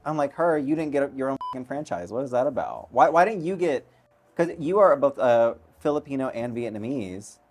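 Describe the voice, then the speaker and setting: A male talk show host trading casual, teasing banter with a deadpan edge. His voice sits at an ordinary middle pitch, and he keeps his jokes dry and unhurried. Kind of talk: casual interview chat.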